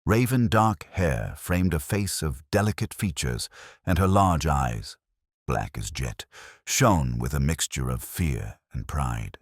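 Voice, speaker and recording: A man's voice: a bass voice, expressive, takes it slowly, dry recording, studio quality. A man reading a scripted dramatic story as narrator, in a formal, solemn, literary manner. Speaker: audiobook narrator.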